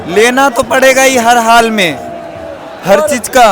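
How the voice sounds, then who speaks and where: A young medical student from Patna with a high voice. He speaks emotionally and insistently. The protest crowd is clearly heard around him. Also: unhurried. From Patna, India. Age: young adult. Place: outdoor protest.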